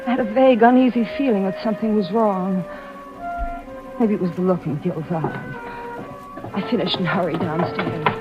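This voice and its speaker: An anxious, suspicious wife played by a radio actress speaks in the confiding, probing way of 1940s mystery drama. The old recording is muffled, with loud music in it. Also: female voice, medium pitch, lightly acted. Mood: uneasy, confiding. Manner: confiding narration, probing questions in dialogue. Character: anxious, suspicious wife of a broke resort owner. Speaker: lead actress and first-person narrator.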